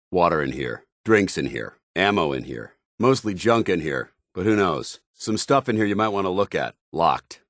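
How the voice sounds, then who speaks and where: An American actor playing a stern, disciplined armored soldier in a video game, speaking in a formal, clipped, commanding way. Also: male voice, deep voice, a bit bright, no room sound, studio quality. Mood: calm, watchful. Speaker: video game companion character. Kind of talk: scripted game dialogue and combat barks.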